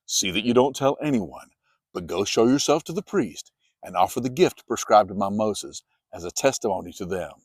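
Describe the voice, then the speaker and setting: Guy doing calm holy teacher from the bible, measured and firm, lowish, dry sound. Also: slightly rough, no room sound. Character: calm, authoritative adult male holy teacher.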